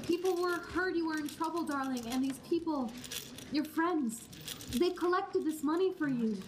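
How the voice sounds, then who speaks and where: High-voiced, devoted young wife, played by a woman. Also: moderate pace, natural voice. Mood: excited. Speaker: student actor. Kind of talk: radio play acting. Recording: noisy stage recording.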